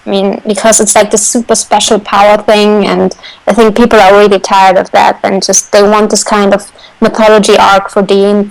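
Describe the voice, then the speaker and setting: A woman's voice: medium pitch, creaky, poor audio. A woman in a casual fan discussion, speaking hesitantly with lots of "like" as filler. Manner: hesitant, peppered with "like". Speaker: fan radio panelist.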